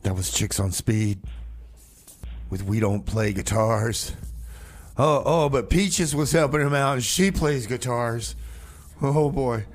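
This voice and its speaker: A man's voice: mid-range voice, takes it slowly, pauses often. A man hosting a music radio show in a folksy, rambling, hesitant way, often repeating himself and poking fun at himself. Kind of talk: rambling music radio presenting between songs.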